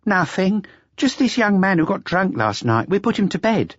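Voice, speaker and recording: A man's voice: high-pitched, sing-song, no room sound, highs cut off, pitched above the performer's own voice. A man reading aloud, voicing a buxom, motherly waitress of about thirty-five who chides and chats. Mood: brisk, chiding. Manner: brisk, fending off, chatty.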